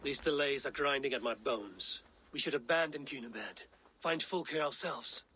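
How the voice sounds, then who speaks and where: An actor playing a stern, blunt Viking leader, speaking in terse, solemn declarations. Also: male voice, mid-range voice, normal speed, pushed and bright, husky. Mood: impatient.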